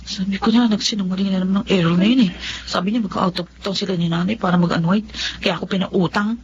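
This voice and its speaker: An actress playing a dutiful, practical grown daughter with a Filipino accent in a naturalistic television drama. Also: female voice, mid-range voice, fairly even intonation, fast, close to an everyday voice. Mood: annoyed. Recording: dull audio with faint background noise.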